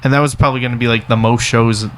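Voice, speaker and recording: A man co-hosting a podcast in casual chat, easygoing and halting as he searches for words. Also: medium pitch, steady tone, a bit bright. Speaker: podcast co-host.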